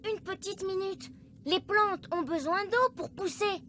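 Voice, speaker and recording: A performer voicing an excitable, sweet young child in a French fairy cartoon dub, eager and wide-eyed. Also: very high voice, a little slow, soft background music, heavily acted. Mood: thoughtful.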